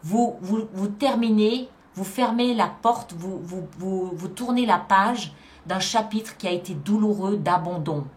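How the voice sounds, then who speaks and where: A woman's voice: medium pitch, a little slow. A woman hosting a tarot reading, explaining the cards to viewers in an emphatic way, often repeating phrases to drive a point home. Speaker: tarot reader and channel host. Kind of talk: spoken tarot card reading addressed to viewers.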